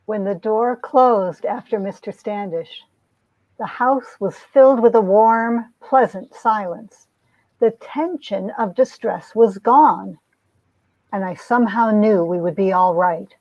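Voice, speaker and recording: A woman's voice: mid-range voice, slow pace, close to an everyday voice. A woman playing a tender, wistful young heroine who earnestly narrates her 1950s comic-book romance, in dull, dry sound.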